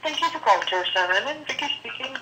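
A woman's voice: a little high, lively intonation, fast talker, reverberant. A woman answering a business phone as a receptionist with a routine greeting. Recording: very noisy, distant telephone line recording.

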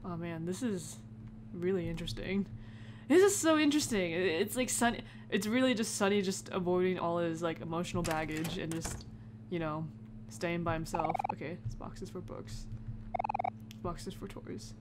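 A woman's voice: fairly low pitch, moderate pace. A woman giving casual, reactive let's play commentary, reading game text aloud and adding informal asides. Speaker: gamer narrating a playthrough. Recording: heavy background noise, clicks.

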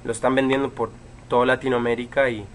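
A man in his twenties, from Chile, casually answering fan questions on a livestream from a room at home, relaxed, with many fillers and pauses. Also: medium pitch, a little hiss or hum. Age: 20s. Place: home room with bookshelves, indoors. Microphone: webcam.

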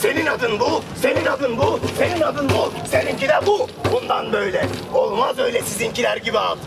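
A bossy fairy-tale monster played by a male storyteller gives curt, commanding corrections and stretched-out refusals. The voice comes from a distance outdoors, under loud music. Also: very high-pitched, lively intonation, brisk, vocal fry, a loud crowd, heavily acted. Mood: bossy, insistent. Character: domineering seven-headed monster who corrects children.

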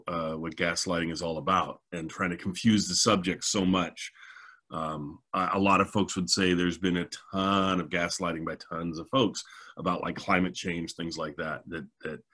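Husky, low-voiced middle-aged American man. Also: fairly bright tone. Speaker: show host. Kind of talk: casual video-call discussion. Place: home, indoors, video call.

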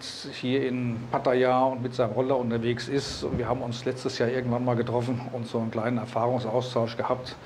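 A man's voice: mid-range voice, a little mellow, a little hiss or hum. A man, presenting and narrating a vlog. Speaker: vlogger.

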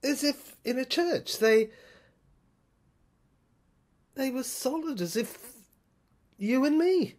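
Slow, theatrical woman narrator with swooping pitch. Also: lively intonation, darkish tone. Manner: halting, repetitive, theatrical delivery. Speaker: narrator of an audio screenplay. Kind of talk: dramatic first-person monologue, diary reminiscence.

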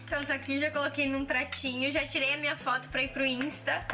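A woman's voice: high voice, flat delivery, fast. A woman in her twenties chatting casually through a home cooking vlog, restarting sentences often, in a kitchen on a camera mic. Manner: chatty, hesitant, many restarts and fillers. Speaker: cooking vlogger. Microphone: camera mic at arm's length. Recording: music playing under the voice. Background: background music and clinking dishes.

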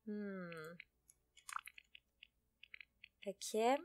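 A woman's voice: medium pitch, very slow, a little mellow, a little hiss or hum. A woman giving casual, chatty let's play commentary, thinking aloud as she goes. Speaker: gaming video host.

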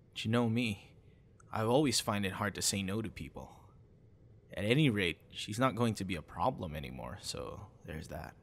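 A man playing a cute, teasing young boyfriend with a hidden sinister side in an ASMR audio roleplay, soft-spoken and casual. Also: fairly low pitch. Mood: casual, wry.

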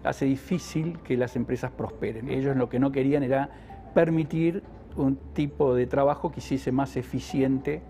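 A man's voice: medium pitch, steady tone, normal speed, warm tone, slight background noise. An older man answering an interview, giving an analytical explanation and often stumbling into restarts.

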